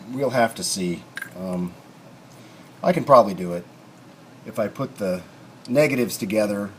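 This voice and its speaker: A man giving an unscripted, casual workshop tutorial, rambling and thinking aloud in a folksy way as he works. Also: on the low side. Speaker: hobbyist restorer demonstrating repairs. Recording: clear background noise, home workshop video.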